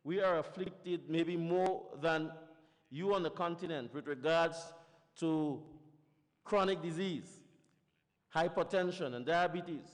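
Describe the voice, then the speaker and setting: A man from the Caribbean giving a formal conference panel talk, setting out his points one by one in a measured way. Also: a little high. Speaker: panel speaker. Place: large conference hall. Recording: reverberant conference hall.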